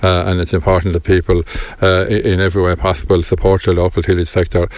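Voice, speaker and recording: Deep, flat-voiced farming spokesman. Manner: measured, halting with many restarts. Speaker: farm organisation representative. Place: outdoors on a potato farm.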